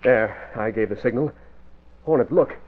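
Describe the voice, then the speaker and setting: An actor playing a nervous, cowardly crook in 1940s radio style, anxious, hesitant and jumpy. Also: male voice, medium pitch, moderate pace, close to an everyday voice. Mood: frightened, jumpy. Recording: tinny, noisy old radio recording.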